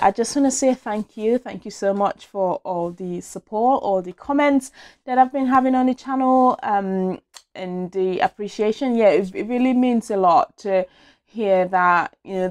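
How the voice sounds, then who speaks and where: A young woman from Nigeria talks straight to camera in a small room, casual and personal, often stopping to rephrase herself. Her voice sits in a middle register and comes through close and clear from a lapel mic. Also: mid-range voice, a little mellow. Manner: chatty and open, with fillers and self-corrections. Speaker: vlogger. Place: small indoor room against a plain wall. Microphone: small clip-on lapel mic.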